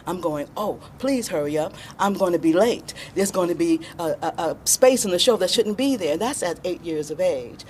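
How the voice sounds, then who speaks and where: Low-voiced American woman, noisy background. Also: expressive, normal speed, few pauses, a little mellow. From born in Alabama, raised in Chicago, USA. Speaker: interview guest, filmmaker. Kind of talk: interview answers, storytelling.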